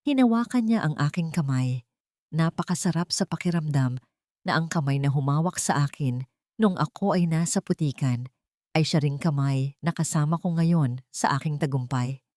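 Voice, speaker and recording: A woman's voice: deep voice, normal speed, a little mellow, dry recording, studio quality. A woman with a Filipino accent reading a scripted dramatic story in an earnest, sentimental manner. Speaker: audio story narrator.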